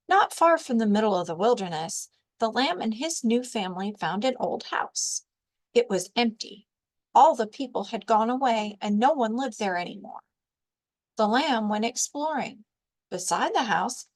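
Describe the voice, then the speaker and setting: A woman reading a children's storybook aloud as a librarian storyteller, with deliberate, expressive narration. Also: a little high.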